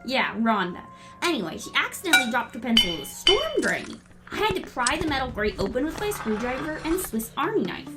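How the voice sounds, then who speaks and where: A woman playing a brisk, confident, quirky girl in a family audio drama, breezy and matter-of-fact. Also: high voice, wildly swooping pitch, over loud music. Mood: proud, brisk.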